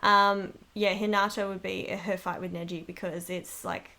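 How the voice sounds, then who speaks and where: A woman's voice: medium pitch, level tone, normal speed, pushed and bright. Woman chatting casually in a rambling way. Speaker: amateur voice actress being interviewed. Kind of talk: casual chat interview.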